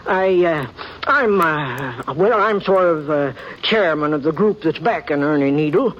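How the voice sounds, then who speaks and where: A fussy, stammering frontier doctor. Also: male voice, fairly high pitch, animated, a little slow. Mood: pleading. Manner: stammering, wheedling, flustered. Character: fussy, excitable old frontier town doctor. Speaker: town doctor. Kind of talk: 1950s radio drama acting. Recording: muffled vintage radio broadcast with hiss.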